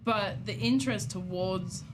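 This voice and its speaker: A woman's voice: mid-range voice, unhurried, background noise. A young woman from Italy, Italian first, chatting casually as a podcast guest, laughing often and acting out her own past thoughts. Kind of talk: casual chat.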